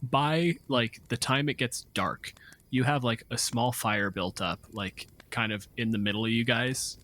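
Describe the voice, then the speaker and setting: A man's voice: mid-range voice, steady tone, a little slow, fairly bright tone. A man running a tabletop game as game master, narrating and explaining rulings in a casual, profane way.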